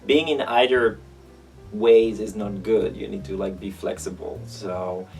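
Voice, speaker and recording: A man in his thirties with an Israeli accent, answering interview questions in a reflective, halting way, thinking aloud. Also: lowish voice, steady tone, creaky, distant mic, faint music behind. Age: 30s.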